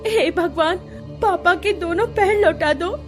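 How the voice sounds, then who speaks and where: A woman voices a young bride in an animated tale. She is stubborn and highly emotional, pitched high and pushed, with faint music beneath. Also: a little slow. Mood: tearful pleading. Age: young adult.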